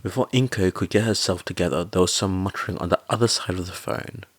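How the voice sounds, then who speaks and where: A man's voice: low voice, quick pace, dry recording, clean studio sound. A man reading a story aloud as scripted podfic narration.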